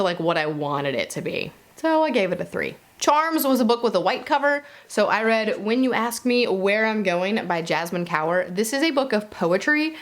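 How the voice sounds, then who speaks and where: Female video reviewer, mid-pitched, informal and conversational, chatting straight to her audience in a casual wrap-up. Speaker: book reviewer and video host. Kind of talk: casual monthly reading wrap-up to viewers.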